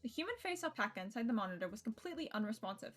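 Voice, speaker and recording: High-voiced woman streamer reading a game aloud. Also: sing-song, very fast, fairly bright tone. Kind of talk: reading visual novel narration aloud with casual asides. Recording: music behind the voice.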